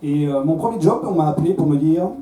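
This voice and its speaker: Belgian French comic in his thirties, self-mocking stage patter with stumbles, slightly high but very mellow voice, miked from a distance in a roomy club. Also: quick pace. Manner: conversational, self-mocking, with stammered restarts. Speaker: stand-up comedian. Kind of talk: stand-up comedy set. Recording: roomy club sound from a distance, faint crowd.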